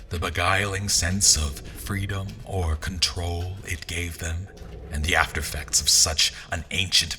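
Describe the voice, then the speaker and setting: A man playing a formal, wry royal adventurer who narrates his journey in a measured audio drama storytelling style. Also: low-pitched, sharp tone, a little hiss or hum. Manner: formal, deliberate, storyteller delivery. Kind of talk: first-person scripted narration in an audio drama.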